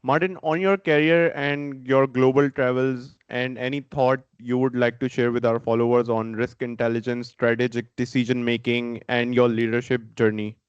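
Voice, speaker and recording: Smooth-voiced male podcast host, upbeat. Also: mid-range voice, level tone, moderate pace, hardly any pauses, no echo, highs cut off. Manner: friendly, slightly unidiomatic phrasing. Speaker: podcast host, company CMO.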